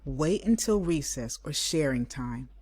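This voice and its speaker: A cool, considerate mouse schoolmaster from a children's book, read by a woman. He speaks in a measured, polite classroom manner, calm and kindly. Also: deep voice, slightly rough, exaggerated voice. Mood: calm, firm.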